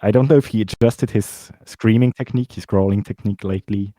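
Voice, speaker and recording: A man with a very low voice hosting a casual chat and passing on viewer questions. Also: brisk, no echo. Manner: relaxed, reads viewer questions. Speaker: host. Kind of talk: casual chat over a stream.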